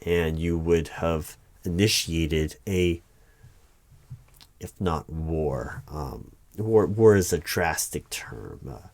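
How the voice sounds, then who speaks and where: Secret-bureau hiring manager played by a man: deep, dry close sound, formal and unflappable, measured ASMR roleplay delivery. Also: vocal fry, a little mellow, dry recording, heavily acted. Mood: calm, cautioning. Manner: measured, formal, wordy, hesitant pauses. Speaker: roleplay performer. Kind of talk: one-sided ASMR audio roleplay interview.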